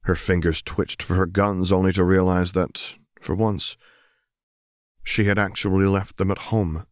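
A man's voice: low-pitched, flat delivery, measured pace, no echo, studio quality. A man reading scripted fiction as a dramatic audiobook narrator.

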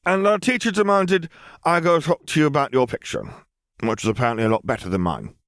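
A coolly unflappable young girl acted by a man, raised in pitch above the reader's normal voice. She talks in a bored, matter-of-fact, oddly formal way, recorded dry for a storybook. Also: a little fast, a bit bright, dry recording. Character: odd nine-year-old girl, bored, coolly unflappable.

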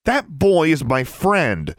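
A man's voice: fairly high pitch, lively intonation, brisk, no echo, studio quality, voice pushed up, close to an everyday voice. A man voicing a defiant, hot-tempered schoolgirl in an audiobook, snappy and confrontational. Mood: angry.

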